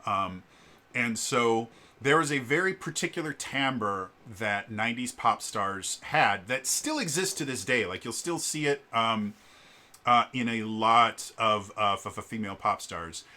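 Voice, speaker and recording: A man with a very low voice casually explaining singing in a vlog, often halting with fillers and stammered restarts. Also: lively intonation, bright, forceful tone. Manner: shifts to gravelly vocal fry demonstrations.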